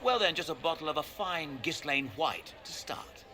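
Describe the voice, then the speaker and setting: An actor playing an obsequious waiter with fawning, ornate politeness, in a video game cutscene. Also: male voice, a little high, lively intonation, normal speed, crowd noise. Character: obsequious, flowery male waiter at a fine establishment.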